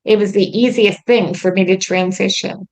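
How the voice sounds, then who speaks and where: Creaky-voiced woman, earnest and chatty. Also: mid-range voice, fairly even intonation, normal speed. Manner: earnest, chatty, many ums. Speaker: host. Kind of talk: casual chat about her treatment. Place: lives in Quebec.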